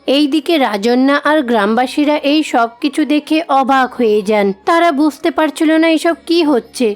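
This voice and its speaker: A woman's voice: high-pitched, moderate pace, faint music behind. A woman reading scripted narration for a children's story as its narrator.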